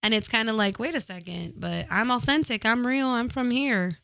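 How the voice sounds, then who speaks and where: A woman guest in casual podcast chat, a little tipsy, animated and swearing, laughing often. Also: on the high side, normal speed, vocal fry, no echo. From United States.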